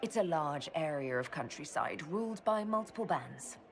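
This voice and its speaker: A woman's voice: sits low, animated, normal speed. A woman playing a composed, scholarly female mage leader in a video game, speaking in an articulate, polished way. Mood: chatty, informative. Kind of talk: video game dialogue acting.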